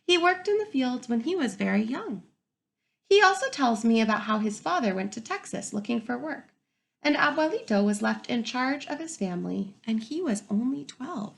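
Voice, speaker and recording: Female teacher for children's read-aloud, slightly high voice with creak, expressive, inviting questions from young listeners. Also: moderate pace. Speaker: kindergarten teacher reading a picture book aloud.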